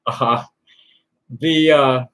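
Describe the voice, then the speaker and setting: A man hosting a live call-in stream, rambling in a halting way with many fillers and restarts. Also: medium pitch, steady tone, a bit bright. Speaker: livestream host answering chat questions. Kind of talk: rambling casual chat. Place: Tucson, Arizona.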